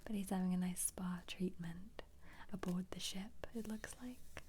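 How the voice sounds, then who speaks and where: A woman speaking as an ASMR host in a gentle whisper, musing casually and without hurry as she flips through a book. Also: lowish voice, steady tone, a little slow, noisy background. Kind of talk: soft-spoken ASMR book flip-through.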